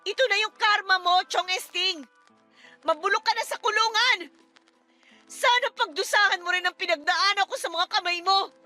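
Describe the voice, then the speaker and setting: A wronged young Filipino stepdaughter in a radio drama, unforgiving and scornful. Her voice is extremely high and piercingly forceful, with emphatic delivery. Music runs beneath it. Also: normal speed. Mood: furious, scornful. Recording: music under the voice.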